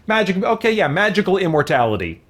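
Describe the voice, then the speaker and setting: A man's voice: high voice, very animated, fairly quick. A man doing casual gaming commentary as a let's-play host, thinking aloud in a conversational way. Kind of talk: casual gaming commentary, answering viewer questions.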